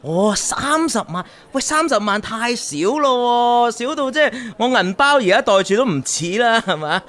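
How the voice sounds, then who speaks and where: A man's voice: extremely high pitch, lively intonation, moderate pace, fairly bright tone. An actor playing a boastful, condescending young rich man in a radio drama, with a Hong Kong accent and showy, smug delivery. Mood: showing off. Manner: showy, smug, peppers talk with tags and English.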